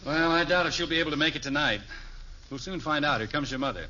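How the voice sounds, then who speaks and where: Sharp, wry American sitcom dad. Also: male voice, a little high, fast. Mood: reasoning. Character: wry, easily exasperated 1950s father and husband. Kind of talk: 1950s radio sitcom acting. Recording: muffled vintage radio recording, faint noise.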